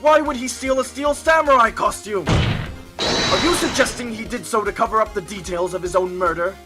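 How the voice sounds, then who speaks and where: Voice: mid-range voice, moderate pace, music in the background, close to an everyday voice. A performer playing a haughty, indignant male prosecutor with a scornful manner in an amateur game fandub. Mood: outraged.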